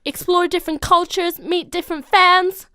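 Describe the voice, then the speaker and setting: A woman's voice: very high-pitched, fairly even intonation, moderate pace, pushed and bright, dry recording, studio quality. A woman voicing an eager, ambitious chicken in an audio story, with an insistent manner. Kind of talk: children's story character acting.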